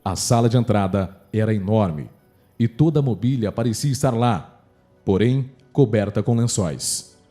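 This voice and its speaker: Mellow Brazilian man narrating horror. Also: lowish voice, moderate pace. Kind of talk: scripted horror story narration. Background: faint music.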